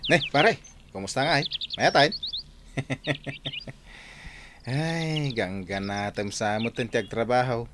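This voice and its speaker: Easygoing male pal, played by an actor, slow talker, radio drama, noisy recording with birdsong. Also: medium pitch, lightly acted. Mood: friendly. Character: easygoing male friend visiting a buddy. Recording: noisy, birdsong and clicks behind.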